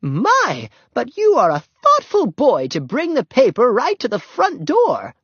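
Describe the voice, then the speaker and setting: A man voicing a fussy, apologetic older woman neighbor who gushes in high, drawn-out exclamations. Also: huge pitch swings, a bit bright, breathy edge, dry recording, exaggerated voice. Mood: gushing. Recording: dull lo-fi dry recording.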